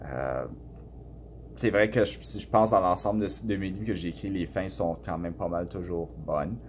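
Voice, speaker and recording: A man from Canada with a Canadian French accent, answering students' questions about his book in a relaxed, hesitant way with many fillers and restarts. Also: deep voice, normal speed, slight background noise. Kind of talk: casual spoken answers to a class.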